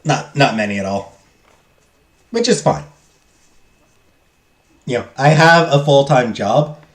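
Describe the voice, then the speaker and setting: A man streaming a visual novel, loudly reading the game text aloud and chatting with his viewers. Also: mid-range voice, animated, takes it slowly. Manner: loud, talks loudly. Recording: roomy echo, faint game music.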